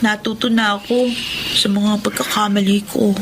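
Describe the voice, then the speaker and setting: An actress playing an earnest, contrite young student in a Filipino radio drama. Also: female voice, medium pitch, level tone, moderate pace, background noise, natural voice. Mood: pleading.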